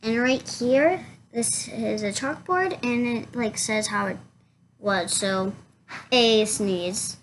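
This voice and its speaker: A woman reading a children's picture book aloud, haltingly, stumbling over words and rereading them. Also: fairly high pitch, a little hiss or hum, poor audio. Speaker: storybook reader.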